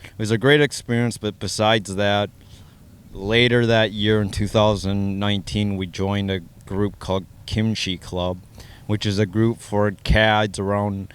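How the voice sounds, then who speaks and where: Low, smooth-voiced American man. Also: little pitch movement, measured pace. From Minnesota, United States. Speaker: interviewee sharing his adoption story. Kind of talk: measured personal interview answers.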